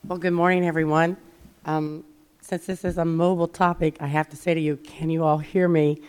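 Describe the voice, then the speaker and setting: A middle-aged woman giving a conference presentation, hoarse from losing her voice, chatty with many fillers. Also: low-pitched, little pitch movement, normal speed, darkish tone. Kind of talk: conference talk. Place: conference session room.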